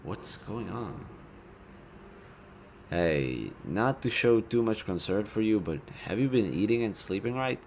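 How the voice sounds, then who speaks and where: A low-pitched, smug supervillain acted by a man talks straight to the listener in an audio roleplay. He is sardonic and casually sweary, with a theatrical taunting manner and a hidden caring side. Some background ambience sits behind the voice. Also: lively intonation, a little slow, darkish tone, slight background noise. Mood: puzzled, observant, then grudging concern. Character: smug male city supervillain, sardonic yet secretly caring.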